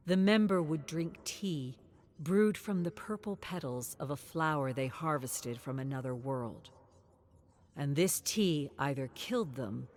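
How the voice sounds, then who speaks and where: Female narrator for dark horror fiction: low register, markedly slow, deliberate and dramatic.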